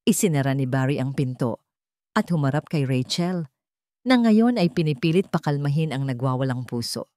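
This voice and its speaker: A woman's voice: sits low, lively intonation, normal speed, mellow, no room sound, studio quality. A woman with a Filipino accent narrating a scripted dramatic story.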